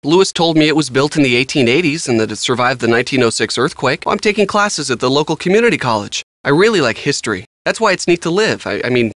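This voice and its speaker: Man playing an animated young American handyman. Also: mid-range voice, quick pace, hardly any pauses, no echo, close to an everyday voice. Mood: friendly, casual. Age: young adult. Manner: casual, earnest, slightly defensive. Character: earnest young handyman and history student. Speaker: game voice actor. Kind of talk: conversational video game dialogue.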